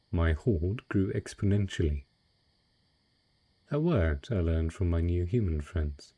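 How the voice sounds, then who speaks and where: A man playing a wealthy, cunning dragon in humanoid disguise, speaking in a refined, eloquent and husky way in a romantic fantasy audio roleplay. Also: low-pitched, warm tone, heavily acted. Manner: refined, eloquent, unhurried storytelling.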